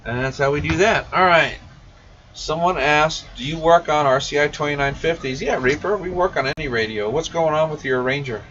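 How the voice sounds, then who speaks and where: A man's voice: on the high side, normal speed, fairly bright tone. A man chatting casually on a live stream as an informal, enthusiastic radio shop technician. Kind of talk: casual live stream chat, answering questions. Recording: muffled, distant mic with faint noise.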